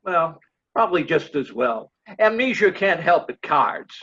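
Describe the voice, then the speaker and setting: A genial card-loving writer in a stage play reading played by a woman. The voice is husky and swings widely in pitch, on dull, lo-fi call audio. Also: big pitch swings, unhurried, fairly bright tone, heavily acted. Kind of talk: virtual readers theater play reading.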